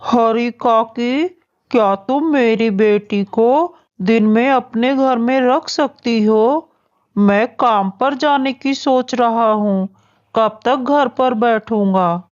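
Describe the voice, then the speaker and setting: Woman as a slow, worried father bird. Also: on the high side, darkish tone, highs cut off. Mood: earnest, worried. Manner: earnest, pleading. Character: worried widowed father bird, tender and earnest. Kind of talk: children's cartoon story acting.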